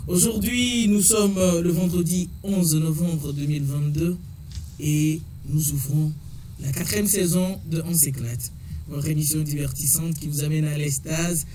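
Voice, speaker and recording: Guy hosting a radio show, a bit high voice, chatty, laughs a lot. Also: far from the mic, background noise. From Togo. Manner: chatty, laughs often, many fillers.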